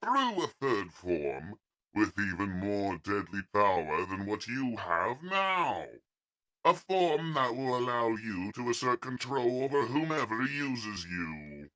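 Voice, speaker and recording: A cruel, cowering alien servant from a sci-fi comic reading, played by a man. The voice is bright and forceful, with pitch that swings widely. Words are stretched out slowly and dramatically. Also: sing-song, exaggerated voice. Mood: menacing, gloating. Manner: slow, dramatic, stretched delivery. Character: cowering alien Quintesson servant, cruel yet pleading, male.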